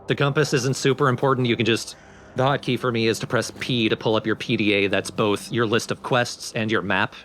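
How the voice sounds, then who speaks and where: A man's voice: mid-range voice, fairly even intonation, a little fast, a bit bright, slight background noise. A man hosting a let's play, explaining the game in casual commentary. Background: video game music.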